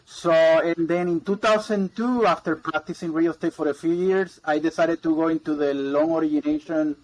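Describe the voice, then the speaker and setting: A man's voice: on the high side, steady tone, moderate pace, poor audio. Man, rambling guest. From Puerto Rico. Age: 40s. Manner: rambling, repeats words, many ums and uhs. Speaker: guest, mortgage broker. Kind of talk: casual interview chat.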